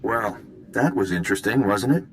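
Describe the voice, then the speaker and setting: Man as deep-voiced, wry intelligence agent. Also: animated, normal speed, a little hiss or hum, lightly acted. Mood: wry, curious. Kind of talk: video game voice acting.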